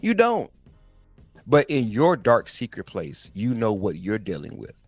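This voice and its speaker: Man, forties, low-pitched, conversational and slangy, dry close recording, faint music behind. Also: wildly swooping pitch, a little slow, breathy edge, dry recording. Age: 40s. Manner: conversational, slangy, addresses listeners as guys. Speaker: podcast host. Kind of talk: casual motivational talk.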